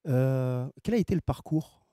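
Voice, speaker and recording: A man's voice: mid-range voice, expressive, moderate pace, dark tone, dry recording, clean studio sound. A man interviewing two circus performers, asking questions politely and with some hesitation.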